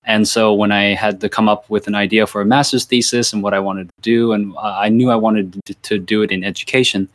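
Rambling man, low voice, dry sound. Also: level tone, fairly quick, few pauses, no room sound. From Virginia, USA. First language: Thai. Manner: rambling, many fillers and restarts. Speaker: university lecturer and researcher. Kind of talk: casual interview answers.

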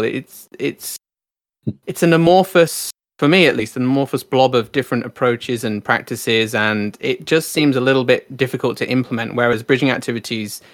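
A man's voice: medium pitch, no echo, clean studio sound. A man hosting a podcast interview, speaking casually with fillers and often affirming his guest. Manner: friendly, uses many fillers and affirming backchannels. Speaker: podcast host.

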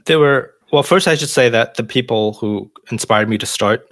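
A man's voice: mid-range voice, normal speed, fairly bright tone, no echo. A middle-aged man from Malaysia, a professor of educational technology, answering interview questions in a thoughtful, hesitant way with frequent restarts.

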